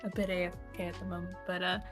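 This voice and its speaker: Rahat konuşan kadın podcast sunucusu. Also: female voice, fairly low pitch, little pitch movement, very fast, a bit bright, faint music behind. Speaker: podcast host. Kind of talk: casual fan podcast discussion.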